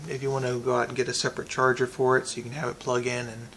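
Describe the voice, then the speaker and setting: A man giving a casual, unscripted product review, thinking aloud in a halting way with pauses. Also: medium pitch, monotone, a bit bright, slight background noise.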